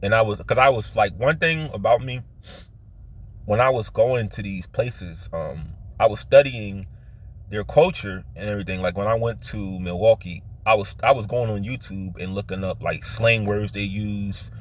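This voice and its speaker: Male, Philadelphia accent, lowish voice. Casual, rambling personal storytelling with halting, repetitive phrasing. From Philadelphia, United States. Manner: halting, circles back and repeats himself. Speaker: vlogger telling his life story.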